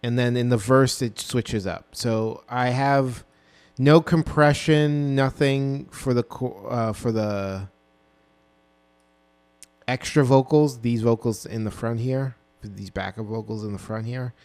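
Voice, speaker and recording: Young man, low and smooth voice, unhurried rambling producer talk on a dynamic mic in a small home studio. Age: 20s. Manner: relaxed, rambling, full of ums and self-corrections. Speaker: music producer. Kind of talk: casual walkthrough of a song mix. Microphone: dynamic broadcast mic.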